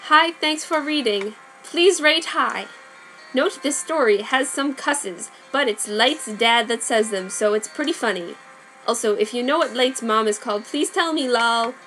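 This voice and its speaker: A woman's voice: a little high, measured pace, pushed and bright, faint music behind, rough recording. A woman voicing a giddy teenage fanfic writer in bubbly, sing-song asides. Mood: chirpy.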